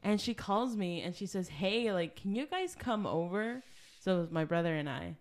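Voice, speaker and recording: Young woman, podcast co-host, casual storytelling, lots of fillers, slightly low pitch. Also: animated, moderate pace. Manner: chatty storyteller, frequent "like" fillers. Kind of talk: casual chat.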